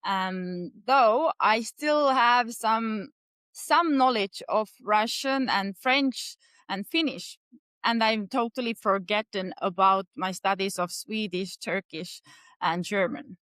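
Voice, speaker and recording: A woman's voice: mid-range voice, slow pace, fairly bright tone, clear, resonant tone, no room sound. A woman in her thirties with an Estonian accent, answering interview questions earnestly with many hesitations. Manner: frequent hesitations and restarts, earnest. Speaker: podcast guest.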